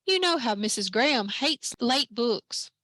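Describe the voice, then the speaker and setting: A woman's voice: a little high, expressive, measured pace, sharp tone, dry recording, exaggerated voice. A woman reading aloud voices an anxious, bookish young girl, pleading breathlessly for help.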